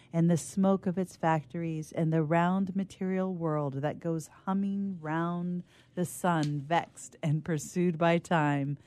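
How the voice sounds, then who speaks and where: A woman narrates a literary story aloud. Her voice is notably low for a woman, with a warm, velvety darkness. She delivers it in a measured, theatrical way.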